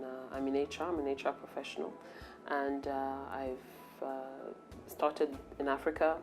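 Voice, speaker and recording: A woman in her forties from Cameroon, an HR professional answering interview questions in a measured, reflective way. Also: rumbling low, a little mellow. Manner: measured and reflective, pausing to gather thoughts.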